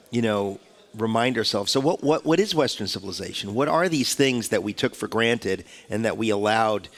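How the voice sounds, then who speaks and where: A man with a cold and a stuffed-up voice, hosting a radio talk show in a rambling, chatty way. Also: fairly low pitch. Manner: rambling, chatty, many restarts. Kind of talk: casual radio interview chat. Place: radio studio.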